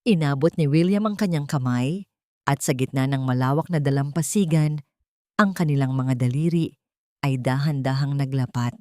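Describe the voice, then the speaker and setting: A woman reading a scripted romantic drama as narrator, in a sentimental, measured storytelling manner. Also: rumbling low, a little mellow, no room sound, studio quality.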